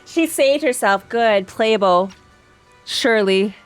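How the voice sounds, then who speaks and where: A woman streamer reacting casually to a game in a fairly high voice. She is playful and sarcastic and likes to repeat phrases for effect. Game music plays underneath. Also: expressive, slow, low-quality recording. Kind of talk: casual gaming reaction commentary.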